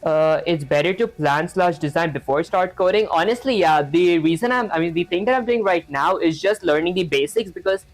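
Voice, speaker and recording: A man's voice: sits high, brisk, few pauses, faint music behind. A young man live-streaming his programming practice, talking casually and rambling with lots of filler words while he reads chat. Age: young adult.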